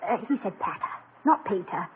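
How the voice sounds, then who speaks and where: A woman's voice: medium pitch, lively intonation, fairly quick, crowd noise, natural voice. A woman playing a gracious, witty faculty wife. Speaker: college president's wife. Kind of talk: 1950s radio comedy acting. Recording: dull lo-fi 1950 radio broadcast.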